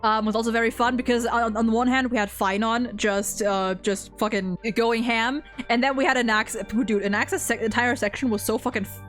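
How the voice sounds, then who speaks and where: A woman's voice: on the high side, steady tone, fairly quick, a bit bright, faint music behind. A woman in casual gaming fan chat, co-hosting with effusive delivery and frequent swearing. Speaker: co-host.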